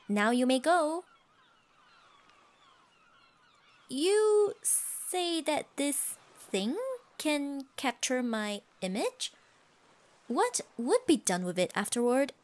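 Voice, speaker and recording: Casting: woman to play proud tsundere sea siren for roleplay audio; high, very animated voice, imperious and huffy. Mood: grudging, imperious. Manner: haughty, scoffing, flustered stammers, archaic formal phrasing. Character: haughty tsundere siren, proud, secretly smitten sea creature. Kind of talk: ASMR roleplay monologue.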